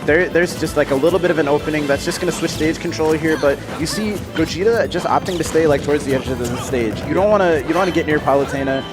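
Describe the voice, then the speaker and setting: A young man giving relaxed, analytical live esports commentary with lots of fillers. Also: on the high side, fast talker, few pauses, music in the background.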